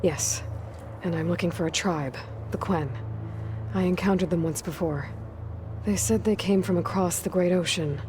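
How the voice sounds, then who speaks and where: Low-voiced, determined young huntress played by a woman. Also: moderate pace, background noise, natural voice. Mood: businesslike. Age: young adult. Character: determined young woman hunter, guarded and restless. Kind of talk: video game cutscene dialogue. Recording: music playing behind.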